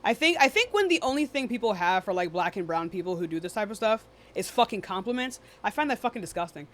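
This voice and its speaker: A woman's voice: mid-range voice, very animated, fast talker. A woman hosting a live debate stream in a casual, blunt, dismissive and often profane way. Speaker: livestream debate host.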